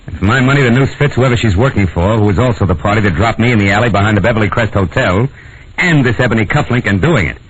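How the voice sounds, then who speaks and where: A man's voice: lowish voice, expressive, fast, husky. A man with an American accent playing a tough, world-weary private detective in a 1940s radio drama, brusque and wisecracking, both in scenes and narrating. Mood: probing. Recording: dull old radio recording with music.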